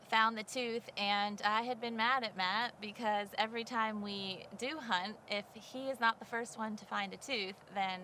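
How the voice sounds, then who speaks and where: A woman speaks in a casual interview manner, recounting things conversationally. Her pitch moves very little, staying flat and even. There is faint ambient noise behind her voice. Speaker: fossil hunter. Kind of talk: casual documentary interview storytelling.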